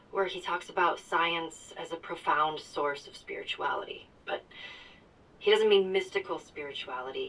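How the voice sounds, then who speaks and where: A woman's voice: low-pitched, fairly bright tone, husky, distant mic, exaggerated voice, low-quality recording. A woman playing a thoughtful discussion member in a game audio log, speaking in a hesitant, reflective way.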